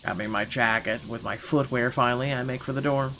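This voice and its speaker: A man reading a visual novel aloud as a wealthy, irritable gentleman, expressive and indignant in his narration. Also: mid-range voice, a little fast, a little hiss or hum. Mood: annoyed, wry. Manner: indignant, expressive, stumbles over the script. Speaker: gaming channel host.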